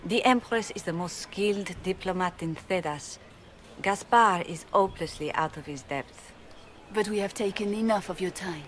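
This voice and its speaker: A woman's voice: medium pitch, normal speed, slight background noise, close to an everyday voice. An actress playing a formal, ingratiating female court messenger in a video game cutscene.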